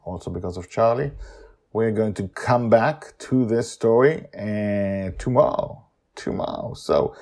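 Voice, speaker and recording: Animated deep-voiced male podcast storyteller. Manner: animated, chatty asides.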